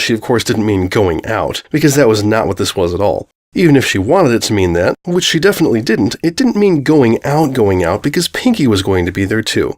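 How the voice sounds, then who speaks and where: A man reading a scripted comic story aloud as its narrator. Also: mid-range voice.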